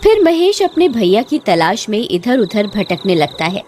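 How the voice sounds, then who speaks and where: Slow-paced woman narrating a horror story. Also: medium pitch, sing-song, a little mellow.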